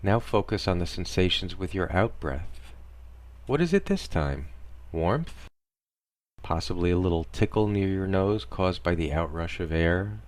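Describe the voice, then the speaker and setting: A man narrating a scripted parody guided meditation in a deadpan, soothing instructional manner. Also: low-pitched, a little slow, dry recording.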